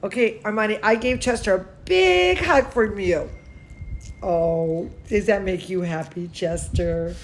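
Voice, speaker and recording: A woman's voice: mid-range voice, measured pace, a little hiss or hum. A woman hosting a children's bedtime story, reading aloud with affectionate, chatty asides and exclamations for young listeners. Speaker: children's bedtime story host and cooking teacher.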